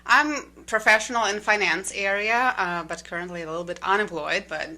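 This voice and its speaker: A woman in her thirties from Ukraine, a guest telling her story in a relaxed, chatty way with many fillers and slightly phrasing. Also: mid-range voice, brisk, poor audio. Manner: relaxed, many "um"s and "like"s, slightly non-native grammar. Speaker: interview guest. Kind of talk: casual conversational storytelling.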